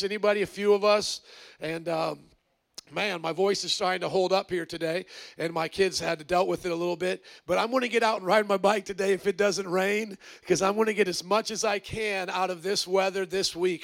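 A man's voice: sits high, steady tone, a little fast, creaky, a bit bright. A pastor with a congested voice, rallying his congregation at the start of a church service. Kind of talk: energetic sermon opening.

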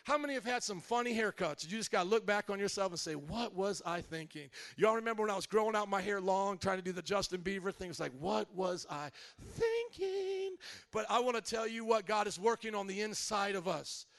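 Brief: male pastor, high voice, dry close recording, lively call-and-response sermon. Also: vocal fry, dry recording. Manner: energetic call-and-response preaching; slows and stretches words for emphasis.

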